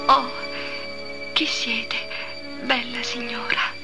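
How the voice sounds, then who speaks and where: A woman's voice: fairly high pitch, little pitch movement, drawn-out words, music in the background. A woman playing a pious young saintly girl in a 1950s religious cartoon, with a reverent, theatrical delivery. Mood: hushed prayer. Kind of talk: 1950s Italian religious dramatization acting.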